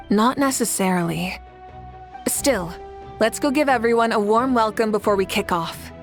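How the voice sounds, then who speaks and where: A woman's voice: mid-range voice, takes it slowly, pauses often, a bit bright, faint music behind. A woman playing a composed, commanding young leader hosting a tournament in a video game, stately and rousing. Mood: composed, concerned. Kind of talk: video game character acting.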